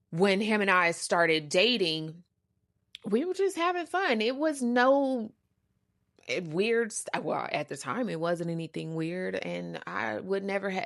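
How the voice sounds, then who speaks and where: A woman hosting a casual storytime, with a lowish, gravelly voice recorded close and dry. She is sassy and emphatic, repeating words to drive a point home and talking in loose slang. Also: a bit bright, dry recording. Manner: uses slang and swearing. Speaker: storytime podcast host.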